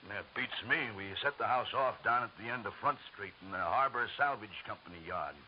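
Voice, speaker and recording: A man's voice: lowish voice, a little fast, close to an everyday voice. Man acting a slangy working stiff, muffled recording. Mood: puzzled, wary. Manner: stammering, slangy, offhand. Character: rough, dopey working-class mover, casually dismissive. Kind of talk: 1940s radio acting. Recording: muffled, hissy old radio recording.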